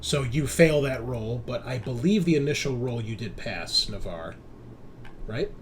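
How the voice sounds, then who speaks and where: A man's voice: mid-range voice, animated, measured pace. Guy game mastering a sci-fi horror rpg, casual and sweary, some room noise behind.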